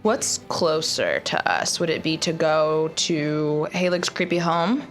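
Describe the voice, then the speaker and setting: A woman's voice: low-pitched, fairly even intonation, takes it slowly, soft background music. A woman player in a tabletop game, talking casually and thinking aloud as she plays her character.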